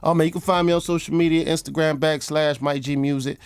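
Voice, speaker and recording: Fast-talking New rapper man. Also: fairly high pitch, fairly even intonation, clean tone, no room sound, clean studio sound. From Brooklyn, New York. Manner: loose and emphatic, many "you feel me" and repeated words. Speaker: rapper, guest. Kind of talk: casual interview talk.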